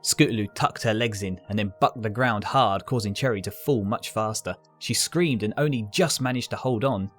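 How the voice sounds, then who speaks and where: Lower-pitched man narrating, music behind. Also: moderate pace, few pauses, a bit bright. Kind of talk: scripted story narration.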